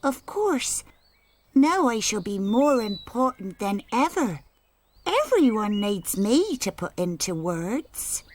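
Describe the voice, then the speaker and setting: A woman's voice: a little high, very animated, takes it slowly, slight background noise, voice pushed up. A woman voicing a proud, smug apple character in a storybook, with music behind. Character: proud self-important female apple.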